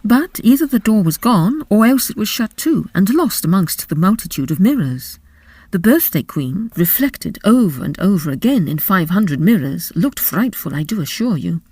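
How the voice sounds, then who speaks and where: Expressive woman storyteller with animated pitch. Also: moderate pace, husky, no echo. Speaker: narrator. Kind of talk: dramatic storybook narration. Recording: faint background noise.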